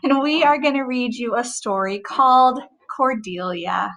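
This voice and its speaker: A middle-aged woman, a teacher, reading a rhyming storybook aloud in a gentle, sing-song way. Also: fairly high pitch, animated, measured pace, background noise.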